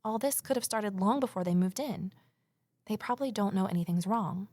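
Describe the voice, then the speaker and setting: An actress playing an anxious, determined amateur sleuth in an audio drama, earnest and introspective. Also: female voice, mid-range voice, a little fast, a little mellow, dry recording, close to an everyday voice. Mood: brooding, anxious. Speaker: lead character and narrator. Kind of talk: naturalistic audio drama dialogue and narration.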